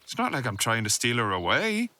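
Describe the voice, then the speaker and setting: A man's voice: medium pitch, huge pitch swings, fairly quick, pushed and bright, no echo, full character voice. A man playing a haughty, teasing, flirtatious cousin with an arch, insinuating drawl, in a fantasy audio roleplay. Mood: mocking.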